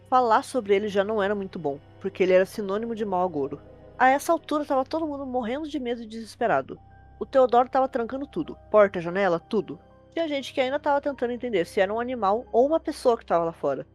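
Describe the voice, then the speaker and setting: A woman's voice: medium pitch, darkish tone. A woman with a Brazilian accent narrating a horror story in casual, colloquial language. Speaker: narrator, host. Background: suspense background music.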